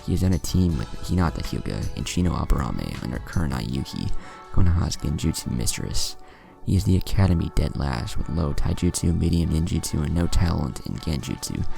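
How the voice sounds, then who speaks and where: A man's voice: a bass voice, expressive, a little fast, vocal fry, mellow, full character voice. A man voicing an informative young male ninja who explains and lists facts, with music behind. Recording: music under the voice.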